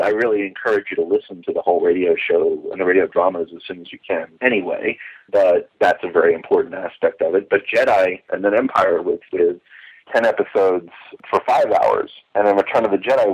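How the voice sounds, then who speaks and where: A deep-voiced man comes through a muffled, phone-like line with slightly husky, unclear tone. He is an eager interview guest, talking emphatically and drifting into asides. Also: darkish tone. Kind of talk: enthusiastic conversational recollection.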